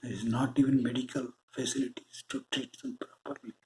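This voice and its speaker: A man with an Indian English accent, giving a slow, earnest spiritual monologue with deliberate pauses. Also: medium pitch, big pitch swings, mellow, a little hiss or hum, poor audio. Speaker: spiritual channel host.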